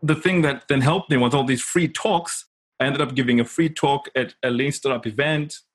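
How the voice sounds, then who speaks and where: A man's voice: medium pitch, normal speed. Informal, emphatic man telling stories. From Zimbabwe. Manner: informal, emphatic, often checks in with "right?". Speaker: interview guest, consultant and coach. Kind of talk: animated personal storytelling in casual conversation.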